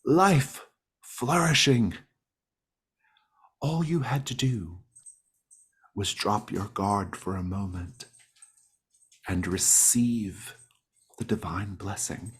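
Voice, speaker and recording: A man voicing an eerily serene, reverent woman devotee in a tabletop game, speaking slowly and hospitably. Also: lowish voice, slightly rough, heavily acted. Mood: rapturous, serene. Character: Gale Van Wyck, eerily serene woman devotee offering tea.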